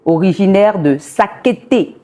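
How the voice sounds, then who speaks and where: A young woman from Côte d'Ivoire, a singer talking casually in an interview, lively and quick to laugh. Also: mid-range voice. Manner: lively, laughs often, animated asides.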